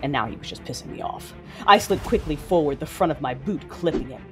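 A woman playing a determined saber-wielding warrior, narrating a duel in a measured, grim first person. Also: low voice, animated. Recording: music behind the voice.

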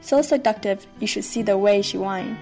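A woman's voice: medium pitch, normal speed, dark tone. A woman co-hosting a podcast, doing a dramatic spoken reading of rap lyrics. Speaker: podcast co-host. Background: faint music.

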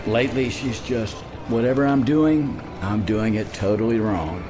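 An actor playing a frustrated, easily impressed husband in an audio drama thriller, casual and open. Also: male voice, fairly low pitch, moderate pace, close to an everyday voice. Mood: weary, defeated. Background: music.

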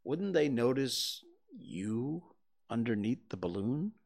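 A calm young boy answers in a plain, matter-of-fact way, as a storyteller reads him. The lines come at an unhurried storybook pace. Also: mid-range voice, creaky, full character voice.

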